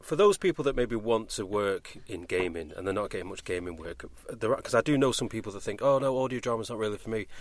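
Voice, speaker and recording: A man's voice: lowish voice, animated, fast talker, hardly any pauses. A British man hosting a podcast, chatting casually in an earnest, enthusiastic way. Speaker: podcast host. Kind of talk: casual interview chat.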